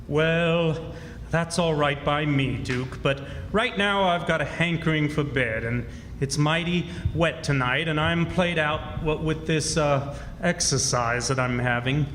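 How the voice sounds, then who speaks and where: A man's voice: a little high, unhurried, a bit bright, a little hiss or hum. A man playing a domineering old swindler who poses as a king, folksy and mock-regal, in a stage radio drama. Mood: folksy, agreeable. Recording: echoey hall stage performance, music behind.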